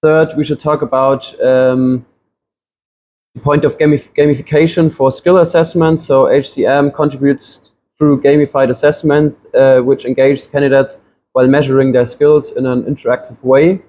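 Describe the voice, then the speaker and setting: A man's voice: medium pitch, steady tone, normal speed, mellow, a little hiss or hum. A man, giving a classroom presentation with frequent ums and restarts. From Germany. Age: 20s. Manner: hesitant, many ums and restarts. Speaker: student presenter.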